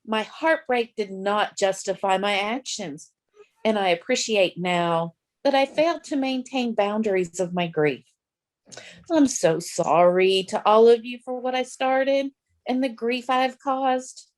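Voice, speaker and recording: A woman playing a remorseful, earnest shark in a comic musical table read, halting through therapy-style apologies. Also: mid-range voice, close to an everyday voice. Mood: earnest, contrite.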